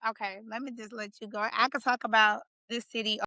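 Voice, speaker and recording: Playful woman in her forties, podcast guest from Chicago, higher-pitched and smooth, dry close mic. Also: brisk, no echo. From Chicago, USA. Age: 40s. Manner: lively, playful, talks up her city with slang. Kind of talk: casual interview chat.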